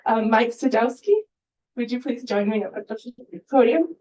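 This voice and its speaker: A woman's voice: on the high side, moderate pace, dark tone, highs cut off. A woman from Hawaii giving an informal presentation as host at a public event. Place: indoor event hall, speaking at a podium.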